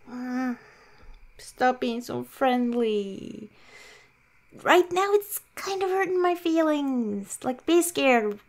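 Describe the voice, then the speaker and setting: A woman playing a shy, awkward young werewolf girlfriend in improvised ASMR roleplay, halting and self-mocking. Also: a little high. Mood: awkward, playful.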